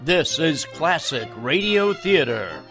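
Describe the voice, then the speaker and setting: An American male announcer introduces a radio show at a slow pace. His fairly high voice swings in pitch over clear music. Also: animated, a bit bright.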